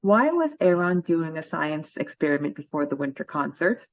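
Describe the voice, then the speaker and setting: A chatty woman co-hosting a podcast, rambling and hunting for words. Her voice sits a little low. The recording is dry and slightly dull, as if from a basic mic. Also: big pitch swings, moderate pace, no echo. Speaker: podcast co-host. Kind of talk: casual chat.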